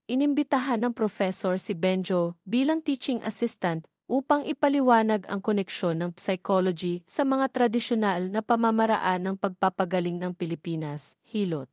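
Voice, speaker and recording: A woman reading a scripted audio story as its narrator, in a measured, read-out manner. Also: mid-range voice, a little mellow, no room sound, studio quality.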